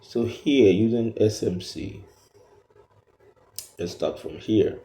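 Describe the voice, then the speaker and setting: A man giving a step-by-step tutorial, explaining haltingly and repeating himself as he points things out. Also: lowish voice, dark tone, echoey room. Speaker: trading tutor.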